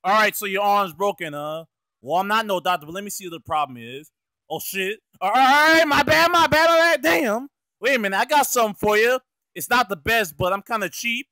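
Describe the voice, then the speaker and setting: A man's voice: high-pitched, lively intonation, brisk, sharp tone, no room sound, full character voice, rough recording. A man voicing a streetwise, exasperated cartoon mouse, slangy, cursing and scolding, in an amateur comedic fandub. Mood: impatient, helpful.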